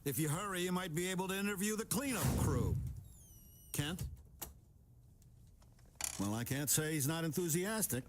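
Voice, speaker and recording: An actor playing a no-nonsense male newspaper editor in a video game, brisk and matter-of-fact. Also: a little high, expressive, noisy background, natural voice. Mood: businesslike. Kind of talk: video game cutscene acting.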